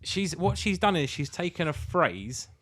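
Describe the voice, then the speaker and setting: A man's voice: a little high, very animated, a little slow, fairly bright tone. A British man co-hosting a casual comedy podcast, ranting bluntly with exasperation and plenty of swearing. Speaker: podcast co-host.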